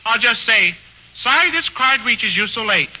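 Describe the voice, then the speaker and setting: Man doing a very high boyish whine. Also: expressive, normal speed, pushed and bright. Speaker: singer and comic foil. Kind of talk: 1940s radio comedy banter. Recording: dull old radio broadcast, faint hiss.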